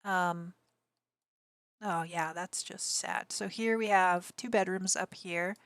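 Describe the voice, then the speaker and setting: Woman, slightly raspy, allergies, lowish voice, casual rambling stream chat, dry sound. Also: fairly even intonation, takes it slowly, no room sound. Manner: rambling, chatty, answers chat messages aloud. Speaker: game streamer.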